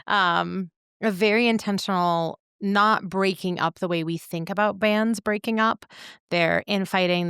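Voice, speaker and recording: A woman's voice: fairly low pitch, fairly bright tone, clear, resonant tone, no room sound, studio quality. A woman co-hosting a podcast in casual conversation, choosing her words with care. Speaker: podcast co-host.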